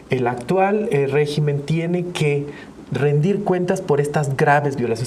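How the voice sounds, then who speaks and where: A man's voice: mid-range voice, a little mellow. A man with a Mexican accent speaking as a human rights advocate in a panel discussion, earnest and explanatory, often rephrasing himself. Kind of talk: spoken commentary in a panel discussion. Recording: clear background noise with room ambience.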